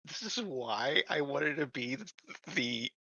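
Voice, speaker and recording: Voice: medium pitch, animated, unhurried, creaky, bright, forceful tone, dry recording, highs cut off. A player at a tabletop game, joking and self-mocking. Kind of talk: casual play at a tabletop game.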